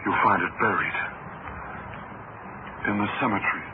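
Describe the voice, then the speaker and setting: A knowing, greedy undertaker acted by a man insinuates in a slightly low voice. The radio-play sound is narrow as a phone line, with very loud noise. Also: normal speed, natural voice. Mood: bitter. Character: greedy, calculating male undertaker and blackmailer. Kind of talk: old-time radio drama acting.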